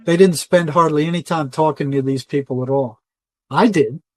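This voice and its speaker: A man's voice: fairly high pitch, normal speed, a little mellow, dry recording. An older man speaking as an interview guest in a conversational, colloquial and emphatic storytelling way. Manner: emphatic, colloquial, often quoting imagined dialogue. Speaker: interview guest, retired psychotherapist.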